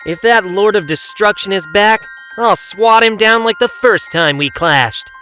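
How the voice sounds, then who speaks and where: Someone voicing a cocky, bright young hedgehog hero. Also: medium pitch, a little slow, soft background music. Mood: cocky, dismissive. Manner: breezy, boastful. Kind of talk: fan audio drama acting.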